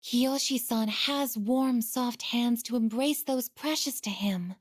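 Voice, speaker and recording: A woman voicing a gentle, earnest young female android in a video game, with measured, formal, softly hesitant phrasing. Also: fairly high pitch. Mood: conflicted, tender. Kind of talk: English video game voice acting.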